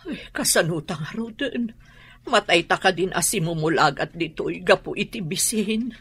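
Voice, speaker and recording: A woman's voice: on the low side, lively intonation, normal speed, fairly bright tone, slightly rough, exaggerated voice. A woman playing a weary, suffering, impoverished mother in a radio drama. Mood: weary, worried.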